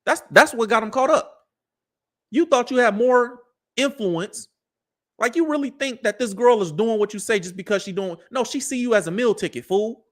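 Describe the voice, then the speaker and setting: A man in his early thirties hosts a live commentary show with a high voice. He lectures his audience in a preachy way and uses a lot of street slang, talking straight at the people listening. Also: moderate pace, a bit bright. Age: 30s.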